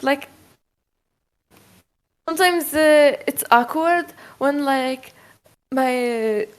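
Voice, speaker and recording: Hesitant young woman, fairly high voice. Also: fairly even intonation, slow. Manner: hesitant, many fillers like "like, you know". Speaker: VTuber guest. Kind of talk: casual interview chat.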